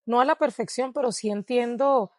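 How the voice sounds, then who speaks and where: A woman's voice: a little high, normal speed, no room sound, clean studio sound. A Venezuelan woman with a Venezuelan Spanish accent, answering interview questions in a reflective way and laughing often. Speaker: guest, dancer and researcher. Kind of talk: interview conversation.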